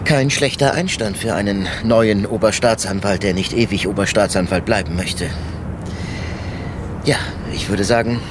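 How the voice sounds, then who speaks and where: An actor playing a wry, plain-spoken police inspector in a radio crime drama. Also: male voice, lowish voice, normal speed, lightly acted. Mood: sardonic. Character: male police inspector, wry, argumentative, protective of his team. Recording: clear outdoor and vehicle noise.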